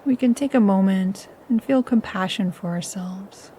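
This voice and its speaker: A woman leading a scripted guided meditation in a soothing, measured, instructional manner. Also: mid-range voice, dark tone, slight background noise.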